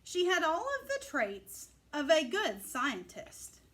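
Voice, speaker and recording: A woman reads a rhyming story for children in a high voice that swings widely in pitch. She takes her time, phrasing each line with clear emphasis and expression. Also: very animated, takes it slowly, fairly bright tone. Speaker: storybook reader. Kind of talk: animated read-aloud of a rhyming children's picture book.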